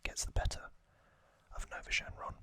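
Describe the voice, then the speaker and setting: A man hosting ASMR, recapping a story in a breathy, unvoiced whisper with a gentle, halting delivery. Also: no echo. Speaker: ASMR host.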